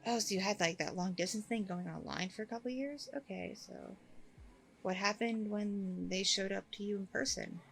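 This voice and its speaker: A woman playing a warm, chatty single working mom on a date, in a casual, rambling ASMR roleplay. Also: mid-range voice, normal speed, vocal fry, soft background music. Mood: shocked, sympathetic. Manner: casual, rambling, informal slangy talk. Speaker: roleplay performer.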